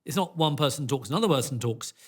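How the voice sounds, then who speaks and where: A man's voice: mid-range voice, expressive, fast, very noisy. A man giving informal documentary interview commentary, talking conversationally. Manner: informal, frequent "you know".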